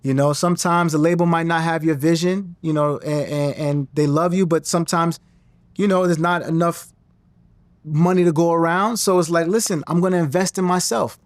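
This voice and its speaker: A man, talking in an interview in a confident, animated way and often restarting his sentences. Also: on the high side, normal speed, dry recording. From Nigerian-American. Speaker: interview guest, singer and actor. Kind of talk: casual interview talk.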